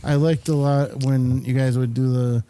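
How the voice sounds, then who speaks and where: Male host, mid-range and mellow, informal interviewer with a halting, meandering delivery. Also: mid-range voice, little pitch movement, clear, resonant tone. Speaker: talk show host and interviewer. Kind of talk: casual interview chat.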